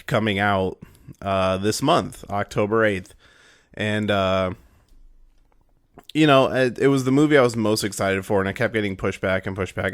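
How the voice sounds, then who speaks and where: A young man hosting a podcast, hoarse and raspy from a cold, rambling through his intro with fillers and restarts. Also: fairly low pitch, no echo, clean studio sound. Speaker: podcast host.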